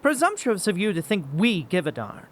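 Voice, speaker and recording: A woman's voice: mid-range voice, wildly swooping pitch, normal speed. A woman voicing a surly, forgetful male laptop companion with blunt, odd remarks in a comic audio drama. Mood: surly, morbid.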